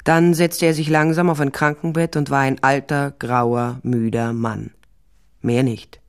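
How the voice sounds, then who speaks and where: An actress playing a determined, wry private investigator, narrating a crime drama in the first person, dry and matter-of-fact. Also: female voice, a bass voice, steady tone, measured pace, clean tone. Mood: sober, dry. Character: resolute, wry female private investigator narrating her case.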